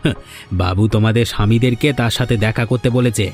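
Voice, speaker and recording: A man's voice: fairly low pitch, fairly even intonation, fast, darkish tone, natural voice. A man voicing a landlord's sly, insolent errand man in a village cartoon, with a taunting, insinuating manner. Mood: needling. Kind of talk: cartoon voice acting. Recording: music behind the voice.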